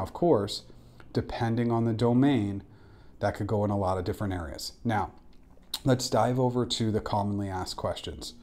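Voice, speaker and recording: A man's voice: on the low side, a little mellow. A man in his forties giving instructional advice to camera as an interview coach, direct and emphatic on key points. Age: 40s. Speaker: interview coach and former recruiter. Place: small indoor room.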